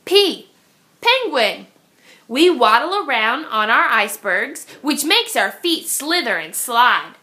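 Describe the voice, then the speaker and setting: Lively, bright-voiced woman reading to children. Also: fairly high pitch, slow. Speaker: read-aloud storyteller for young children. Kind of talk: expressive picture book read-aloud.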